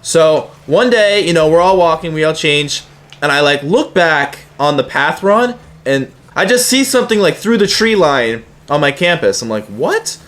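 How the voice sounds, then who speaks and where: A young man with a slightly high voice tells stories about himself like a YouTuber chatting to viewers. His delivery is casual and slangy, with some swearing. He slips into quoted back-and-forth dialogue as he goes. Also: animated, moderate pace, fairly bright tone. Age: young adult. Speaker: YouTuber telling personal stories. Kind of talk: casual anecdotal storytelling.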